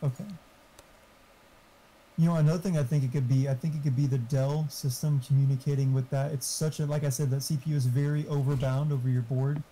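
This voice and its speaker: Informal male tech helper, muffled dark voice, faint background noise, chatty and explanatory. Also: medium pitch. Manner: informal, explanatory, mildly profane. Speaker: friend giving tech advice. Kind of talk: casual chat.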